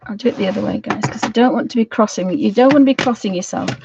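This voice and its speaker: A middle-aged British woman with a slightly croaky voice, giving a casual craft tutorial in a rambling, homely way, thinking aloud as she goes. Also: on the high side, very fast, lo-fi sound, noisy background. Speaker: watercolour craft tutor hosting a live session.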